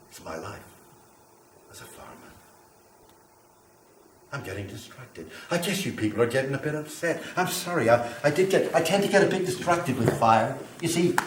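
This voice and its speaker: A man's voice: mid-range voice, natural voice. An actor playing a volatile, boastful yet lonely man who believes he is fire, in a rambling theatrical monologue that swings between grandiose and apologetic. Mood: boastful, musing, then apologetic, subdued. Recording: echoey room, distant mic, faint noise.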